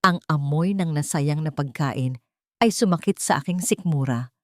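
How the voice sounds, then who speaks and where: A woman narrates a dramatic story in the first person. Her voice sits a little low, and the delivery is scripted. The sound is dry, with no room echo. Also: normal speed, darkish tone.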